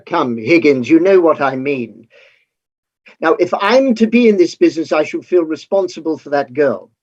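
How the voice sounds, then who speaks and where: An earnest, principled gentleman played by a man in a stage reading. The voice is fairly high and husky, formal and careful, on a dull recording. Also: lively intonation, moderate pace. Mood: earnest, courteous. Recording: dull, muffled lo-fi sound.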